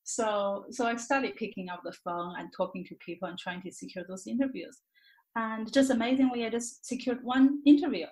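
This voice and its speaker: A woman's voice: on the high side, a little mellow. Woman being interviewed, roomy echo, candid and reflective, keeps restarting phrases while she finds the words. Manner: halting, repeats words and phrases as she searches for them. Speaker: interview guest, author. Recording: roomy, echoey sound.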